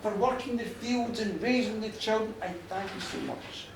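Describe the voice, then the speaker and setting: A man's voice: extremely high pitch, moderate pace. A man voicing a grieving, bitterly emotional widower in a told folk tale, declaiming emphatically with repeated phrases. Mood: grateful, sorrowful. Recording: distant mic, very echoey space, faint noise.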